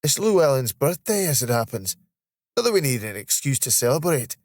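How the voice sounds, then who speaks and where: Storybook role: male reader as a cheeky, teasing Scotsman in his thirties, pitched up, dry recording. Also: big pitch swings, fairly quick, no room sound. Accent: Scottish. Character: playful teasing Scottish man in his thirties.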